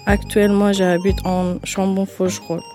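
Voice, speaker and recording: A woman's voice: medium pitch, level tone, normal speed, mellow, soft background music. A woman in her thirties from Afghanistan, introducing herself in French with an Afghan accent. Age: 30s. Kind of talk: self-introduction.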